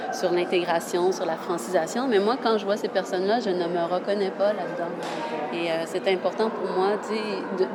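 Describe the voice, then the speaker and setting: A woman with a Québécois French accent in her thirties, a journalist talking earnestly in an interview, often restarting her phrases. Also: medium pitch. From Quebec. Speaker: journalist guest. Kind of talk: casual interview talk.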